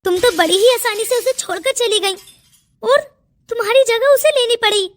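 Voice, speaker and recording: A woman's voice: very high voice, normal speed. A woman voicing a stern, protective elder cousin who scolds and commands in a cartoon family melodrama. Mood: indignant, bossy. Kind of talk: melodramatic cartoon story acting.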